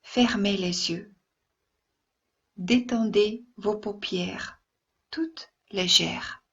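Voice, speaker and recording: Yoga teacher lady doing guided meditation, really slow n soothing, soft breathy voice, bit muffled recording. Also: mid-range voice. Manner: soothing, gentle, with long pauses. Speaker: yoga instructor.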